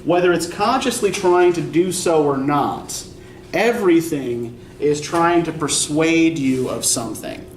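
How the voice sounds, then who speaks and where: A young man lectures a college writing class in a loose, rambling, self-deprecating way, peppering his points with "right?". His voice sits a little on the high side. He is captured from a distance in an echoey classroom, with a faint hum of noise underneath. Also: a little slow. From Eastern Pennsylvania. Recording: echoey classroom recorded from afar.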